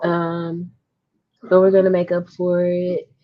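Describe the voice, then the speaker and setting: A woman's voice: on the low side, monotone, slow. A woman co-hosting a casual chat about books, on slightly dull audio. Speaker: book discussion co-host.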